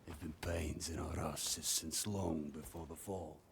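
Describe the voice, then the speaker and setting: Casting: gruff, combative Norse outcast in a game drama; very low, creaky voice, faint rainy noise behind. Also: male voice, steady tone, measured pace, full character voice. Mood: wary, indignant. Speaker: game character actor. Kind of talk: cinematic video game acting.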